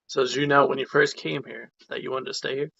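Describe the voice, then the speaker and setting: A man hosting an interview podcast with a gravelly, forceful edge to his speech. He sounds lively and eager, chatting as he draws out his guest. The sound is a little dull and lo-fi. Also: medium pitch, quick pace. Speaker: podcast host.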